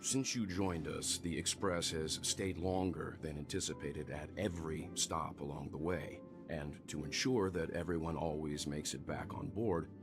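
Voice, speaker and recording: Calm middle-aged mentor; very deep, measured and composed. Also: male voice. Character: calm wise older male mentor. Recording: game audio with music.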